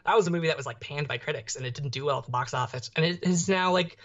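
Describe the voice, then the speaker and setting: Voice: mid-range voice, very fast, fairly bright tone, dull audio. A young adult podcast co-host chatting casually about pop culture, rambling and often searching for words.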